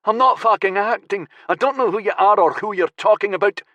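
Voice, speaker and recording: Here is a frightened, enraged young hostage, played by a man reading the story, whose voice jumps high into strained shouts and curses. The audiobook recording is close and dry, with no room around it. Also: brisk, no room sound, muffled recording. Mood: panicked, furious. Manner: panicked shouting and swearing. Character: terrified, furious young man held captive.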